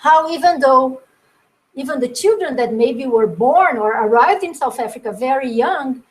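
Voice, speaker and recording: Fairly high-voiced woman giving a talk. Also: expressive, rough recording. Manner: thoughtful, halting, repeats words while searching. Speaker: guest speaker presenting her work. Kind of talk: webinar presentation.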